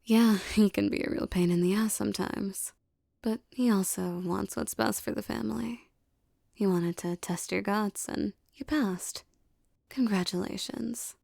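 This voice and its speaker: A woman as a mellow, tough, doting mafia girlfriend. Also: mid-range voice, vocal fry, no room sound, studio quality. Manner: soft, intimate, slangy tough talk with tender teasing. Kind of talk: ASMR romance roleplay, intimate scripted acting.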